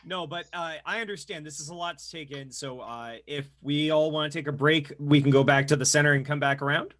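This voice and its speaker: A man's voice: a little high, fairly quick, a bit bright. A man voicing a guide character during an online tabletop roleplay session, earnest and playful. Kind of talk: tabletop roleplay over voice chat.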